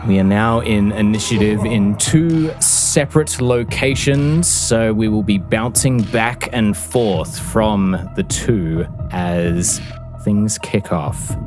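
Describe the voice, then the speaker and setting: A man's voice: lowish voice, takes it slowly. A man narrating a tabletop game as game master in a theatrical, vividly descriptive way. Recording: music behind the voice. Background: music.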